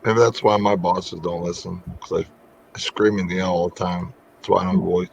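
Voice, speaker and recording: A man hosting a casual working livestream from home over a video call, his voice going hoarse as he rambles through stories. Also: deep voice, brisk. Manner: rambling, animated storyteller. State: voice getting hoarse. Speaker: livestream host. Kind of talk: casual chat while working. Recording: lo-fi call audio with faint noise.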